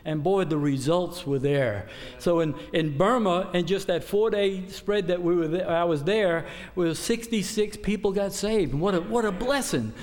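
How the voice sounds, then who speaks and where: Older male preacher, slightly high voice, rambling storyteller with lots of fillers and restarts. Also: normal speed, a little mellow. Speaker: missionary preacher. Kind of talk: informal sermon report with a joke.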